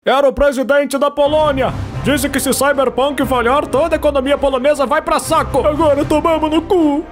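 A man with a Brazilian Portuguese accent dubbing a comedy cartoon in a panicked, shouty way. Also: very high voice, fairly even intonation, a little fast, faint music behind. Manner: shouty, panicked comic delivery. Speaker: fan dubber. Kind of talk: fan dub of a comedy cartoon.

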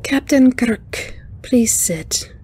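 A woman's voice: on the high side, expressive, darkish tone, husky, voice pushed up, heavily acted. A woman voicing a cheerful, intense female alien spider engineer in an audiobook, with a light chiming voice and courteous, precise speech. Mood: warm, cheerful. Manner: brisk, precise, courteous, slightly formal. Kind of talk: audiobook character voice.

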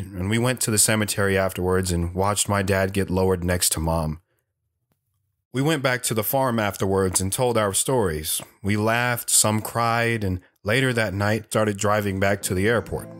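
Retired soldier narrator, played by a man: fairly low voice, sincere, restrained, wistful audio drama storytelling, faint music behind. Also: a little slow, a bit bright, no room sound, studio quality. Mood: somber, reflective. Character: retired soldier recounting his life, earnest and wistful.

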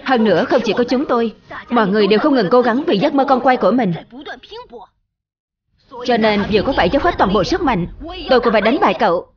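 A woman's voice: on the high side, animated, fast talker, long pauses, highs cut off, crowd noise, lightly acted. A woman voicing an earnest, brave young boy hero in a dubbed children's cartoon, declaiming with youthful resolve. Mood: earnest, resolute. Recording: music under the dialogue. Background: dramatic music.

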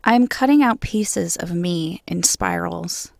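A woman poet reading her own poems in a measured, solemn way. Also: lowish voice, gravelly, no echo, studio quality. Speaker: guest poet. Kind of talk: poetry reading.

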